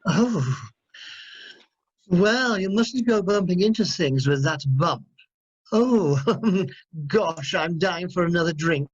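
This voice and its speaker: A man's voice: high-pitched, animated, slow, pauses often, breathy edge, full character voice. A man playing a chatty, gossipy amateur theatre man with a British accent and a gushing manner, in a radio play. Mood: gushing, sociable. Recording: dull online call audio.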